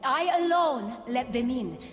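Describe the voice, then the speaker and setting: A woman's voice: sits high, animated, unhurried, soft background music. An actress voicing a regal, gracious goddess queen in a video game, poised, courtly and possessive, in a reverberant space.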